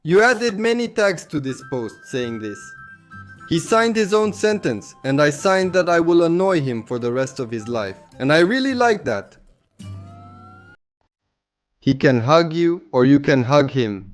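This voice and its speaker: Hoarse man, comedy host, fairly high voice, jokey comment reading, faint music underneath. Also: big pitch swings, measured pace.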